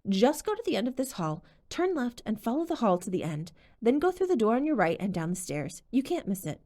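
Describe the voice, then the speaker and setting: A woman's voice: on the high side, big pitch swings, brisk, warm tone, pitched above the performer's own voice. A woman voicing a teenage schoolgirl who gives directions sweetly, in an audiobook. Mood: falsely friendly. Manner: sweet, helpful-sounding. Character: teenage schoolgirl, falsely friendly.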